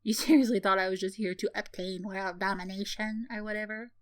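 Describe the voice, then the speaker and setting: A woman's voice: medium pitch, fairly even intonation, fairly quick, close to an everyday voice. A sardonic villainess, acted by a woman for an ASMR roleplay, speaks in a mid-range voice. She is teasing and condescending, mocking with theatrical scoffs while hiding a caring streak. The sound is very dry, without room echo. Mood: cynical, earnest. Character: sardonic tsundere female villain, mocking yet secretly caring.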